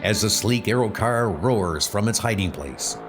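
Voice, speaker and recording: A man's voice: fairly low pitch, animated, unhurried, music in the background. A man narrating a superhero comic script in a theatrical, suspenseful manner.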